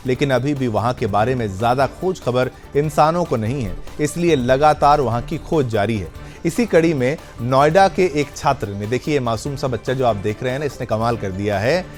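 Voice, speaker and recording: A man anchoring television news, explaining stories conversationally and often rephrasing points for viewers. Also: mid-range voice. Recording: music bed under the voice. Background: background music.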